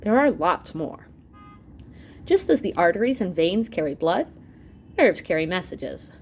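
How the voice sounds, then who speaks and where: A woman reads an illustrated science book aloud like a teacher to students. Her mid-pitched voice moves up and down in a lively way, and she explains terms as she goes. There is a little background noise. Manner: explanatory, expressive, pausing to clarify terms.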